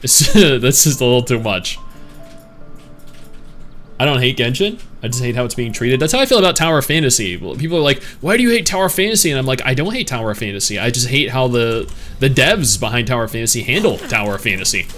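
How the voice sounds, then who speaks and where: A laid-back man talking over a live stream, answering questions from his chat in a loose, opinionated way. His voice sits at an ordinary middle pitch, and faint music plays under him. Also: very animated, fast, fairly bright tone. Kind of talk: casual chat reading live chat.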